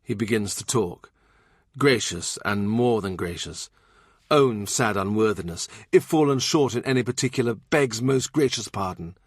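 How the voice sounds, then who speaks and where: A man's voice: lowish voice, animated, moderate pace, slightly rough, no echo, studio quality. A man narrating a historical novel as a scripted literary audiobook.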